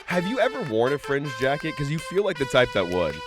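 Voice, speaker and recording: A man's voice: a little high, huge pitch swings, a little fast, music in the background. A young man co-hosting a casual comedy podcast, still congested from an illness, trading sarcastic jabs. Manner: sarcastic, banter-heavy. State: congested, with a cough. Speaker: podcast co-host.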